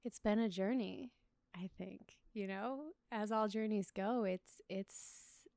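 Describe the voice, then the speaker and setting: A young adult woman, a relationship coach, speaking as a guest in a calm, reflective, soft-spoken way. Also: medium pitch, takes it slowly, no room sound. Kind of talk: interview conversation.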